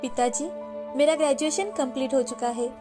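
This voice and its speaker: A woman performs an earnest young woman, a respectful daughter from a cartoon moral story. Her voice sits very high and stays courteous and eager. Music plays underneath. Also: steady tone, moderate pace, natural voice. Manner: polite, earnest. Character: eager, respectful young daughter, bright student and office worker.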